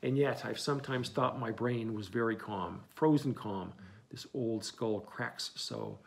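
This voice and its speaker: A man's voice: mid-range voice, measured pace, creaky. A man reading an audiobook, voicing an obsessed old male sea captain who broods and declaims. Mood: brooding.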